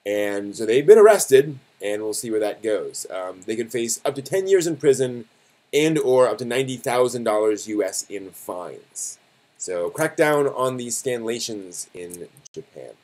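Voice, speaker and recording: A man with a mid-pitched voice hosts a live stream news segment. He talks casually and conversationally, as if straight to viewers. His delivery is a little halting, and he often backs up to correct his wording. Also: animated, darkish tone.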